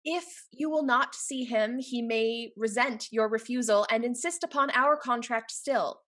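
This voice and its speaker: A poised, clever young society heiress from a Restoration comedy, acted by a woman. Her voice is high and very bright, with composed, formal phrasing. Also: measured pace. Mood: dutiful, persuasive. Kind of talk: scripted stage comedy acting.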